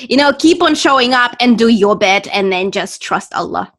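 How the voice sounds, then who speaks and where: Woman host, non-native English speaker, upbeat casual interviewer, higher voice. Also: animated, moderate pace, a bit bright. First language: not English. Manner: encouraging, frequent fillers and restarts.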